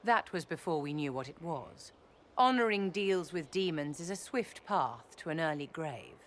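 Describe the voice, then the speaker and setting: A woman's voice: deep voice, expressive, moderate pace, fairly bright tone, a little hiss or hum. A woman playing a stern, suspicious adviser in a fantasy video game, curt and severe. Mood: stern, distrustful.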